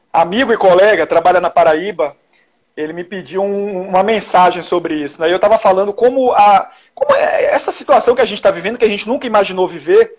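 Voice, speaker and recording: A man from Salvador with a Bahian accent, a journalist telling stories in an interview, with many restarts and tag questions. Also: sits high. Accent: Bahian Portuguese. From Salvador, Bahia, Brazil. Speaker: journalist guest. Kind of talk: casual interview conversation.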